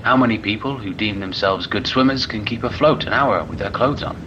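A man playing a formal, old-fashioned lecturer in an audio drama monologue, speaking in a measured, rhetorical way with loud rumbling behind. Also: lo-fi sound, background noise, lightly acted. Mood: measured, didactic. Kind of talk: slow dramatic monologue reading.

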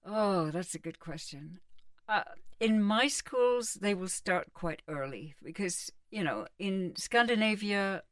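A woman's voice: medium pitch, slow, dry recording, clean studio sound, distant mic. Middle-aged woman, a little off-mic. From England. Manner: animated, emphatic, explains with examples. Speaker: guest psychotherapist. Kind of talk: casual conversational interview.

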